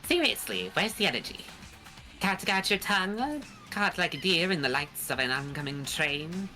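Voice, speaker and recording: Girl doing a sneering villain creature for a game, low bright voice, super swoopy, bit of music behind. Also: sing-song. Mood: mocking, menacing. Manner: pompous, mocking, drawn-out taunting. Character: sneering theatrical villain creature, pompous and cruel tormentor. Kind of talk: hobby voice acting of a visual novel game.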